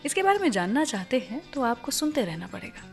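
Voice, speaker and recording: A woman's voice: a little high, sing-song, quick pace, faint music behind. A woman presenting a radio show in a conversational storytelling style, putting teasing questions straight to her listeners. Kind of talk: conversational radio show presenting, telling film music trivia.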